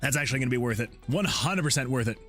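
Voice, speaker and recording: A man hosting a game development livestream, chatting casually with viewers and rambling excitably as he thinks out loud. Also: mid-range voice, very fast. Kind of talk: casual chat with stream viewers while working. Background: background music.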